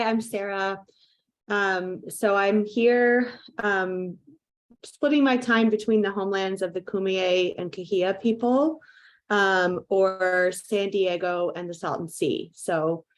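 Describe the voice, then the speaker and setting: A woman introducing herself reflectively on a call, with many fillers. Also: medium pitch. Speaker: participant in a video call. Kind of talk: casual self-introduction.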